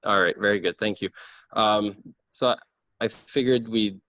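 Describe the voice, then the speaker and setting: Deep-voiced man lecturing. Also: fairly even intonation, moderate pace, a bit bright. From Lebanon. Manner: earnest, frequent ums and restarts. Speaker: gynecologic oncologist giving a lecture. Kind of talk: informal lecture over a video call. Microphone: computer mic on a video call. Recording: dull, dry video call audio.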